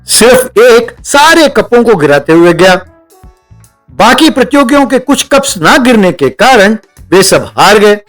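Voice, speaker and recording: Male narrator: comic story reading, high pitch for a man, faint music bed. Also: sing-song, unhurried, a bit bright. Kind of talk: scripted comedy story narration.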